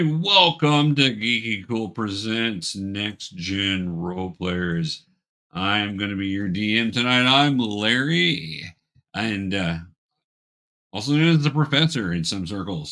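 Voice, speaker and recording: A man speaking casually and haltingly as a game master, explaining things to players and viewers. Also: on the low side, bright, forceful tone.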